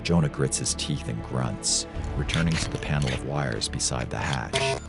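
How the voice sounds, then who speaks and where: A man's voice: rumbling low, normal speed, vocal fry, loud music behind the voice. A man reading scripted dramatic narration as the narrator of a story.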